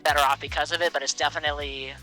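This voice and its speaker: Young man with a very bright, forceful voice. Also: a little high, flat delivery, very fast, soft background music, poor audio. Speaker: interview guest, air traffic controller. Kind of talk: casual conversational interview. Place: small aircraft cockpit in flight.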